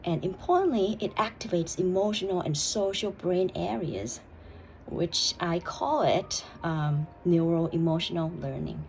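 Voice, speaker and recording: Slow, soft-spoken Thai woman. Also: on the low side, vocal fry, a little hiss or hum, rough recording. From Thailand. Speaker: vlogger. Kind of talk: personal vlog with read-aloud passage.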